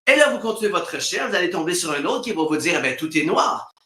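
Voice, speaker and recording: A man's voice: sits high, big pitch swings, quick pace, sharp tone, echoey room. A man from Quebec with a Québécois accent, talking casually as a guest on a live stream, laughing often and acting out quoted voices. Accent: Québécois French. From Quebec, Canada. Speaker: spiritual guest.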